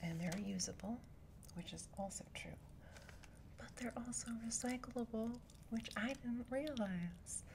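A woman in her thirties from Montana with a light Upper Midwest accent, talking softly and partly whispering in a chatty, self-deprecating way for ASMR. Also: a little high, a little slow, creaky, noisy background. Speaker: ASMR creator. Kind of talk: soft-spoken ASMR rambling. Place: indoor room before a brick wall. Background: loud plastic bag crinkling.

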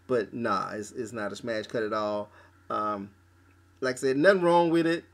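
A man hosting a casual album review podcast, talking haltingly with lots of fillers and restarts. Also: on the low side, gravelly.

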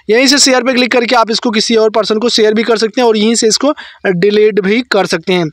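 Male phone-tutorial narrator, notably high pitch, dry close-mic sound, informal explanatory delivery. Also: a bit bright, no room sound. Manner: explanatory, mixes English words into speech. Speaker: tech tutorial host.

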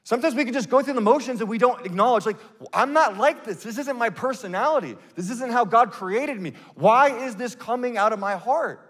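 A man in his thirties preaching a sermon on a church stage into a handheld mic, earnest and conversational, with quick bursts and restarts. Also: very high-pitched, lively intonation, fairly bright tone, in a large hall. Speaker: pastor.

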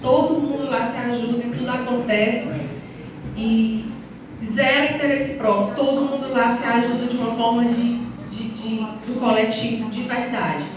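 A woman with a northeastern Brazilian accent giving a semi-formal, somewhat halting public speech as a town councillor. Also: fairly high pitch, steady tone. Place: small council chamber, indoors. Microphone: phone or camera several metres away. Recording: distant, very echoey, noisy room recording.

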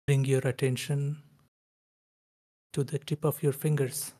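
A man leading a guided meditation in slow, calm, short phrases with long pauses between them. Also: medium pitch.

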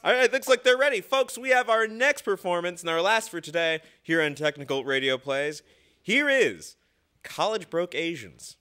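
Young male host, bright slightly high voice, folksy, chatty ad-libs. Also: animated, normal speed, clean tone. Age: young adult. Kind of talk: casual ad-libbed show hosting. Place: small indoor studio. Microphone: stand microphone.

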